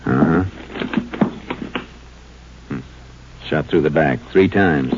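A man acting a steady, methodical Texas Ranger investigator in a 1950s radio crime drama, terse, businesslike and matter-of-fact. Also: very deep voice, slow, heavily acted. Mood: intent, measured. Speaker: lawman and narrator. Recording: muffled, noisy 1950s radio recording.

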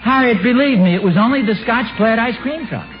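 Flustered, high-pitched radio sitcom dad. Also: male voice, animated, brisk. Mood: unconvinced. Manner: stammering, exasperated. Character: flustered, excitable suburban father and husband, easily spooked. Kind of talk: 1940s radio sitcom acting. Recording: muffled old radio broadcast, music behind.

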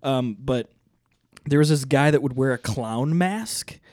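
A man's voice: mid-range voice, a little slow, darkish tone, no room sound, studio quality. A young man chatting casually as a podcast host, rambling with restarts and laughing often. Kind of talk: casual chat.

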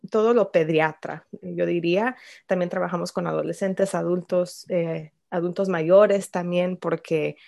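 Slow-speaking woman, lowish voice. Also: a little mellow. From Chicago, United States. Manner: unhurried, many fillers, light laughs. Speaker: bilingual speech-language therapist, guest. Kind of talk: relaxed interview answers.

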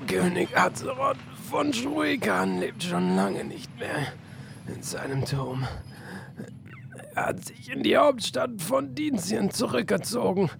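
A dying soldier in an audio drama, high and creaky, forcing out defiant words with great effort. He speaks slowly in broken, gurgling phrases over a noisy background. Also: wildly swooping pitch, exaggerated voice. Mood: gloating, strained. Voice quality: strained, gurgling. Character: dying crushed enemy soldier, gloating and defiant. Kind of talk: amateur audio drama acting.

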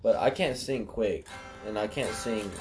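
A man's voice: lowish voice, a little slow. A young man chatting casually with friends on a podcast, his nose stuffy, talking in a laid-back way while he plays guitar. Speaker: guest. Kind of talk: casual chat among friends. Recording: guitar playing close to the mic.

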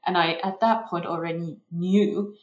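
A woman's voice: lowish voice, fairly even intonation, normal speed, darkish tone. A woman in her fifties, a senior lecturer, answering in a reflective, storytelling way. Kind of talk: interview answer. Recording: echoey room.

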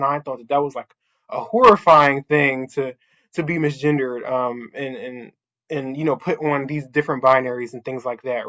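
Young adult man, chatty panel speaker, bright edgy tone, muffled lo-fi sound. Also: mid-range voice. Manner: chatty, heavy use of "like" and "right?". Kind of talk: casual personal storytelling.

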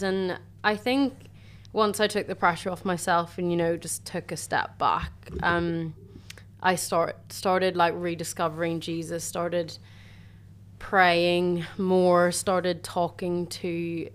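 A young woman from Ireland, a university student telling her faith story in chatty, warm casual talk full of "sort of" and "like". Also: lowish voice, clean tone. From Northern Ireland. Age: young adult. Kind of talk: casual faith testimony chat.

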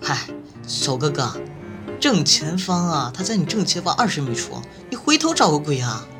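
A woman's voice: sits low, very animated, moderate pace. A woman voicing a cheeky, boastful college roommate with a teasing, chatty manner in a campus audio drama. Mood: teasing, bossy. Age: young adult. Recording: music playing behind the voice.

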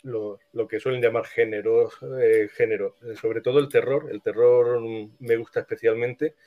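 Male guest, Castilian Spanish, mid-pitched, thoughtful and halting, on a slightly muffled recording. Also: steady tone. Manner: halting, thoughtful, frequent pauses. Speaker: interview guest, screenwriter. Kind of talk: casual interview answers.

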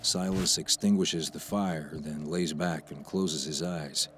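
A man's voice: a bass voice, fairly even intonation, takes it slowly, slight background noise. A man narrating screenplay action for an audio drama in a scripted read. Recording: music behind the voice.

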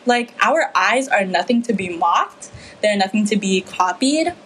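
A teenage girl from the Philippines speaks with a husky, breathy edge. She talks earnestly and with passion, full of casual fillers. Her pitch sits in a middling range. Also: animated, measured pace, a bit bright, poor audio. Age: teens. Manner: earnest, impassioned, many fillers. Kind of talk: casual discussion.

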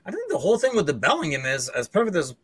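A man hosting a casual sports chat who thinks out loud and keeps handing questions to his co-hosts. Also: fairly high pitch, wildly swooping pitch, fast, breathy edge, no echo. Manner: inquisitive, loose, thinks aloud with fillers. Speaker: podcast host.

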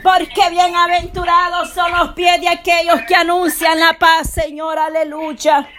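A woman's voice: extremely high pitch, monotone, a little slow, sharp tone, a little hiss or hum. A woman leading a fervent, emotional intercessory prayer, pleading and repeatedly calling out to God in a tearful voice.

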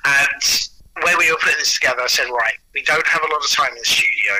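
A man's voice: mid-range voice, fast talker, sharp tone, no room sound, poor audio. A man speaking as an interview guest, enthusiastic and anecdotal in casual chat. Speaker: audio producer and actor, interview guest.

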